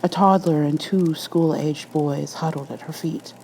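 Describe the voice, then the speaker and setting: A woman's voice: sits low, fairly even intonation, a little slow, gravelly, darkish tone, a little hiss or hum, low-quality recording. A woman reading a scripted horror story as an ASMR narrator.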